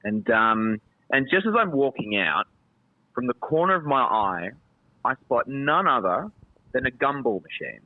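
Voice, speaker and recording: A man's voice: mid-range voice, big pitch swings, measured pace. A man, the show's producer, telling a story in casual chat with playful dramatic buildup. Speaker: show producer. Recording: dry, phone-like line.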